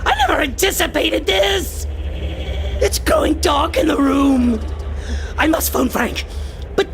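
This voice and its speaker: Frantic, theatrical occult scholar. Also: male voice, extremely high pitch, big pitch swings, a little slow, creaky, fairly bright tone, slight background noise, full character voice. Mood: terrified. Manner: theatrical, portentous, exclamatory. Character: frantic, obsessed male occult scholar, raving and awestruck. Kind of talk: radio horror drama acting.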